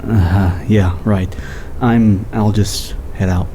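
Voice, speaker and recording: A man's voice: on the low side, steady tone, slow, mellow, a little hiss or hum. An actor playing an awkward, cynical, short-tempered male investigator in a naturalistic audio drama. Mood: awkward, hesitant.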